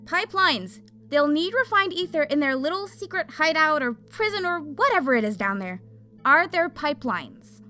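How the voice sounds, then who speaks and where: A woman's voice: high-pitched, sing-song, moderate pace, bright, forceful tone, soft background music, full character voice. A woman playing a hot-tempered young fire mage in an audio drama, brash, urgent and demanding. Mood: urgent, eager.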